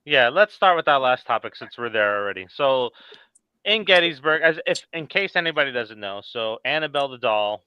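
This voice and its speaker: A man's voice: medium pitch, lively intonation, brisk, smooth, no echo, muffled recording. A man hosting a casual, informal podcast chat about horror movies in a conversational way. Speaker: podcast host.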